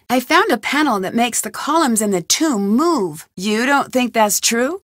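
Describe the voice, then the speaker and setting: A woman's voice: on the high side, a bit bright, dry recording, clean studio sound. An actress voicing a curious, determined young female amateur detective in a video game, asking earnest questions. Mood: suspicious. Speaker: game protagonist. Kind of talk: studio video game voice lines.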